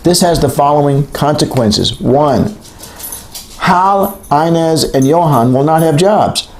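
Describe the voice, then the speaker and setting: A man reading a textbook aloud as scripted narration, in a measured, clearly enunciated lecturing way. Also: on the high side, expressive, slight background noise. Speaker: author reading an audiobook chapter.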